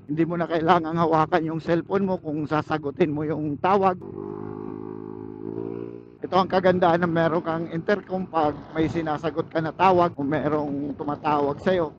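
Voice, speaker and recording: Filipino man vlogging through a dull helmet mic. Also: a little high. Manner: chatty, jokey. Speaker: motovlogger. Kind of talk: casual vlog chat to viewers while riding. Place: outdoors on a moving motorcycle. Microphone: helmet intercom used as wireless microphone. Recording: dull helmet intercom mic, vehicle noise. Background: faint vehicle and road noise.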